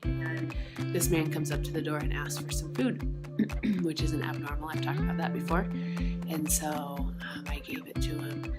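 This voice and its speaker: A woman's voice: deep voice, steady tone, a little slow, echoey room, loud music behind the voice. A woman talking eagerly and casually to her viewers. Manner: eager, chatty.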